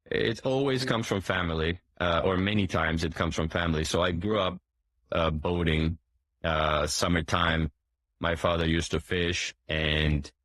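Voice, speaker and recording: A man in his forties with a low voice, who grew up in Turkey, talks through interview answers in a halting way, full of "um"s, restarts and throat clearing. The recording is close and dry, with no room sound. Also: fairly bright tone, dry recording. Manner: hesitant, many fillers and restarts, clears throat often. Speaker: boat dealership owner, guest.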